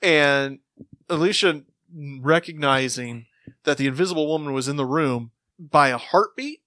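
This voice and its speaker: A man's voice: a little high, a little slow, bright, forceful tone, dry recording. A man hosting a podcast, chatting casually as part of a panel. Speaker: podcast host.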